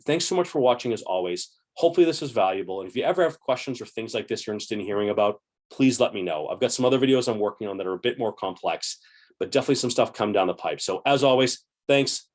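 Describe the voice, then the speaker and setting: A man in his forties hosting an investing channel, casually explaining to a webcam from a home room in an upbeat, chatty way, a little hoarse. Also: mid-range voice, lively intonation, quick pace. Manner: upbeat, chatty, gesturing with both hands. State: slightly hoarse, clearing throat.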